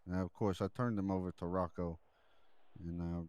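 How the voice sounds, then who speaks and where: A man playing a deferential mobster reporting to his boss in improvised crime role-play, halting and repeating words as he goes. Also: sits low, steady tone, a little mellow, clear, resonant tone, no echo, natural voice. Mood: defensive, loyal. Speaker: role-play gamer playing a mob underling.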